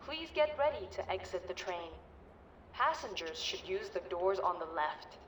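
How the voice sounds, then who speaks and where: An actress voicing a polite train announcer with formal, measured delivery. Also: female voice, fairly low pitch, sing-song, fairly bright tone. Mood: neutral, polite. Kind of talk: public transit announcement. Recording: echoing train PA, faint noise.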